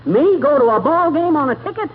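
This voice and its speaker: An actor playing a boastful, blustering, folksy husband in a 1940s radio comedy. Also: male voice, very high-pitched, lively intonation, a little fast, narrow, tinny audio. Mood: blustering, evasive. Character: boastful, blustering husband who talks big. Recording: thin, slightly noisy vintage radio recording.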